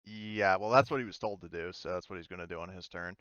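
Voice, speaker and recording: A man's voice: low voice, fast talker, fairly bright tone, no room sound, highs cut off. A man acting as game master, narrating a tabletop game casually and hesitantly as he thinks his rulings through aloud.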